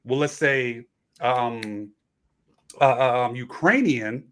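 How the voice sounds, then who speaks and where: A man's voice: medium pitch, takes it slowly, a bit bright. A man hosting a casual late-night panel discussion, explaining at length and restarting his sentences. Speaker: host.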